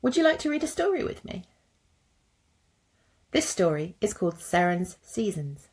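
A woman in her thirties narrating a picture book as a teacher, with deliberate phrasing for young children. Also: mid-range voice, big pitch swings, a little mellow, breathy edge. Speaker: teacher reading a story. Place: indoors at a computer.

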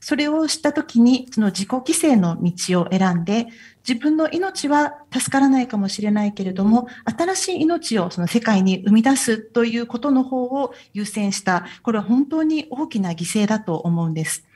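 A woman's voice: fairly high pitch, animated, normal speed, a little mellow, husky. A woman working as an interpreter at a film festival talk, relaying careful, filler-heavy answers between English and Japanese. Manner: careful, filler-laden delivery.

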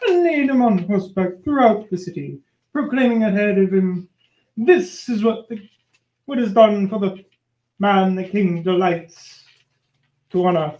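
An amateur performer playing a vain, vengeful male royal official in an old-time radio play, with declamatory, halting delivery. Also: mid-range voice, natural voice. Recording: dull, roomy amateur recording.

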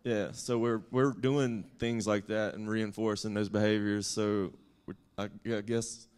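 A man from the audience asks a question during a workshop in a hotel conference room. His voice is low and smooth. He speaks in a relaxed, everyday way. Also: a little slow. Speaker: audience member asking a question.

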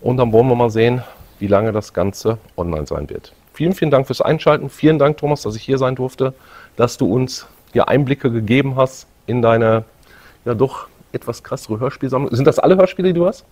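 A man's voice: medium pitch, animated, a little fast, darkish tone. A middle-aged man hosting a video, chatting casually to viewers and his guest. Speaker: video host. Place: indoor event room with collection displays.

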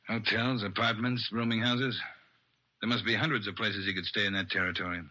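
Forceful, skeptical police chief. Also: male voice, lowish voice, little pitch movement. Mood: doubtful, commanding. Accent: American. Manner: brisk, skeptical, authoritative. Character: gruff, questioning male police chief. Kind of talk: vintage radio crime drama dialogue. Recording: dull vintage radio recording.

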